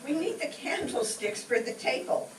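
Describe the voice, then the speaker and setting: A woman's voice: sits high, expressive, normal speed, crowd noise. An actress playing a practical wife and mother in a 1940s-style radio sitcom. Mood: brisk, motherly. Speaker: amateur performer in a staged radio show. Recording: echoey room, distant mic, music behind.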